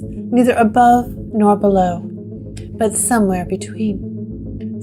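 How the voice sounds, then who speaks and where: A woman narrating a gothic horror story with measured, atmospheric delivery. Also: medium pitch. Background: guitar music.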